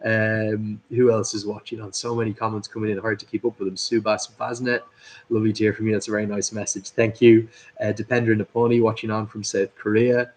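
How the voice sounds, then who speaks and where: A man's voice: on the low side, level tone, fairly quick, a little mellow, rough recording. A man hosting a live cricket watchalong, commentating and chatting through viewer comments. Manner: chatty, upbeat, reads out viewers' names and greetings. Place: at a cricket club.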